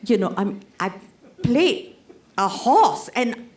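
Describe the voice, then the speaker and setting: A woman's voice: fairly high pitch, very animated, slow pace, a little mellow. Chatty woman on a panel. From Singapore. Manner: chatty, laughing, many "you know" fillers. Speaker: actor, panelist. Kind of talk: panel discussion.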